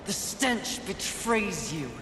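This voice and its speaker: A long, echoing reverb and game noise surround a fierce female warrior. She is impetuous and defiant, commanding in a dramatic video game manner and unhurried in her delivery. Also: medium pitch, expressive. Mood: cold defiance.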